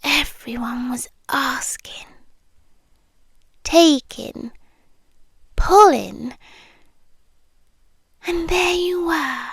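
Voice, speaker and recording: A British woman playing an ancient, possessive, obsessively devoted immortal lover in an intimate ASMR roleplay, speaking in a hushed, breathy, deliberate way that often slips into whispers. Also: high voice, dry recording, clean studio sound, full character voice. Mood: tender, possessive. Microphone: close microphone, dry.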